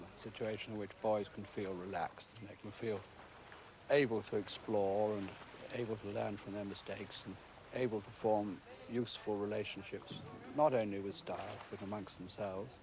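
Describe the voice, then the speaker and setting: A man with a British accent speaks as a school principal being interviewed. His voice is on the low side, and he explains things in formal, earnest, measured phrases. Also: noisy background.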